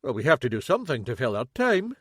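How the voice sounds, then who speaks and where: A man voicing an older detective inspector who speaks calmly with understated, dry humour. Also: medium pitch, fast, slightly rough, dry recording. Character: older Scottish male detective inspector, calm, dry-witted.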